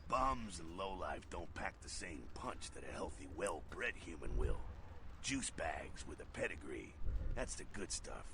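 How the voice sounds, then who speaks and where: A deep-voiced, swaggering vampire mentor from a video game. His delivery swings widely in pitch and is full of gravel and street slang, cocky and preachy, with noticeable noise behind it. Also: sing-song, a little slow, exaggerated voice. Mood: relishing. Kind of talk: video game character acting.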